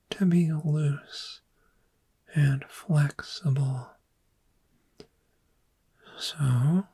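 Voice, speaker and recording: A woman playing a calm, gentle dragon therapist in a soft-spoken ASMR roleplay, speaking in a breathy, soothing whisper with long pauses. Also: low voice, heavily acted. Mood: calm, reassuring.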